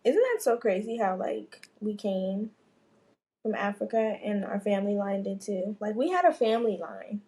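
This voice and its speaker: A woman's voice: medium pitch, moderate pace, warm tone. A young woman co-hosting a podcast, joking in an offhand, slangy, casual chat. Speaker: podcast co-host.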